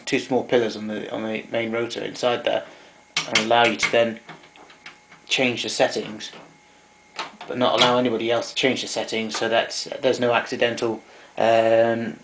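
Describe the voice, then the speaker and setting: Flat-toned man explaining equipment. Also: lowish voice, a little hiss or hum, rough recording. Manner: hesitant, halting explanation. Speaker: vintage tape recorder enthusiast demonstrating equipment. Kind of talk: informal technical demonstration. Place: indoors, small domestic room.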